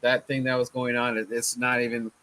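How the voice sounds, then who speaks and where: A man hosting a casual sports fan chat, his voice a little hoarse from chanting, laid-back and often saying "man". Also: mid-range voice, level tone, fairly quick, sharp tone. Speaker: fan channel host.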